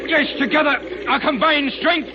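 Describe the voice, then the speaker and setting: An actor playing an earnest, heroic gentleman crime-fighter in a declamatory 1930s radio drama style. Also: extremely high pitch, normal speed. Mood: urgent, firm. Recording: very noisy muffled 1930s radio recording.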